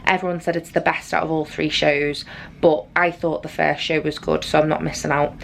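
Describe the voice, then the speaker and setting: A woman with a naturally raspy voice, hoarse from losing her voice, chatting through a rambling vlog recap in her cruise cabin on a handheld camera. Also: low voice, flat delivery, fairly quick, a little hiss or hum. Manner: chatty and rambling, with frequent fillers. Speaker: travel vlogger. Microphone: handheld compact camera.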